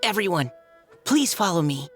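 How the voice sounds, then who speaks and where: A woman's voice: on the low side, sing-song, normal speed, faint music behind. A woman voicing a polite, earnest and thoughtful young woman in a video game.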